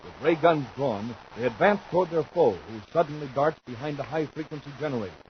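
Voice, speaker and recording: A man announcing and narrating in emphatic, deliberate 1950s radio style, scripted and dramatic. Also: a little high, expressive. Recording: dull 1950s radio recording, faint noise.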